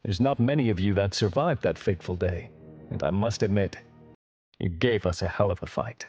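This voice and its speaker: An actor playing a stern, honorable veteran alien admiral in a video game, speaking in a formal, measured, authoritative way. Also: male voice, fairly low pitch, a little mellow, husky, lo-fi sound. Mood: proud, respectful. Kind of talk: video game cutscene acting.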